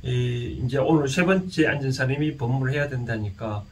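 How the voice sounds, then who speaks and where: A man with a Gyeongsang Korean accent giving an informal explanatory lecture, speaking haltingly and often putting rhetorical questions to his listeners. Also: mid-range voice. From Gyeongsang region, South Korea. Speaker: lecturer and counsellor. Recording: distant mic with faint background noise.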